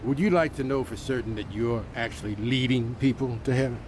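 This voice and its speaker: An actor playing a gruff, shrewd, sardonic homeless man in a radio drama, curt and confrontational. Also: mid-range voice, fairly quick, noisy background, close to an everyday voice. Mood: challenging.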